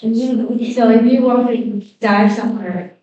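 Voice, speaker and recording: A woman with a Chinese accent introducing fellow musicians onstage at a concert, halting and self-correcting with many fillers. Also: fairly high pitch, dark tone, muffled recording. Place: concert hall. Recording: echoey hall, voice from a distance.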